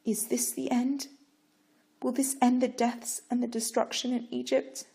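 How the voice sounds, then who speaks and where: A woman's voice: on the high side, flat delivery, a little slow, vocal fry, dark tone, pitched above the performer's own voice. A woman reading a story voices a bold, defiant Egyptian noblewoman who begs a king with urgent passion. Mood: anxious plea. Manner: urgent, impassioned pleading.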